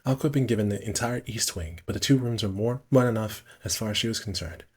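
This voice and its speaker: Low-voiced man streaming, slightly husky. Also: fast, a little mellow. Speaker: streamer reading a visual novel aloud. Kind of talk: casual game stream, reading narration aloud.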